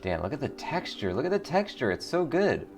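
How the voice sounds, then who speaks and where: A man's voice: mid-range voice, sing-song, a little fast. A man giving casual, enthusiastic game commentary as a show host, speaking informally and reading on-screen text aloud. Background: faint music.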